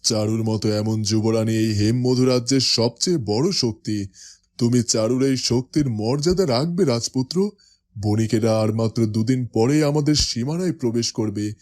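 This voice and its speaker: A man's voice: medium pitch, normal speed, a little mellow, close to an everyday voice. A man plays an older king in a cartoon fairytale. His mid-range voice is dignified and commanding, that of an approving father. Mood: stately, approving. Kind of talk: cartoon character acting.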